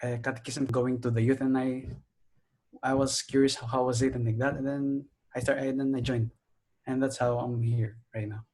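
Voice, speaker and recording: An eighteen-year-old man introducing himself to a podcast in a halting way, restarting often. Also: medium pitch, little pitch movement, darkish tone, rough recording. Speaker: guest. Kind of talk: casual self-introduction.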